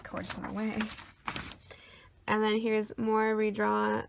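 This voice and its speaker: A woman's voice: medium pitch, completely flat delivery, slow pace, gravelly, background noise. A sniffly woman rambling casually with lots of ums and uhs as she shows her sketchbook. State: sniffly after a cold. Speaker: artist showing her sketchbook.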